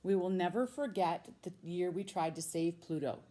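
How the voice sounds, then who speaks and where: A woman with an American accent, a teacher reading a storybook aloud to children with expressive, drawn-out emphasis on key words. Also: on the low side, a little hiss or hum. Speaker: middle school science teacher reading a children's book.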